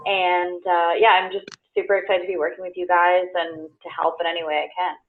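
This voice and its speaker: A woman's voice: fairly low pitch, flat delivery, a little fast, a little hiss or hum. A young woman from the United States introducing herself on a video call, friendly and upbeat. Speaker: volunteer consultant. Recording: tinny phone line.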